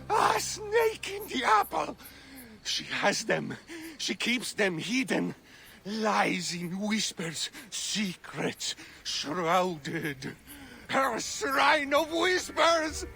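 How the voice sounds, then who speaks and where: A man's voice: very high-pitched, very animated, vocal fry, faint music behind, full character voice. An actor playing a frantic, raving madman in a video game, swinging between wild cries and eerie muttering. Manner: wild shouting that sinks into slow muttering. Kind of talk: dramatic game acting.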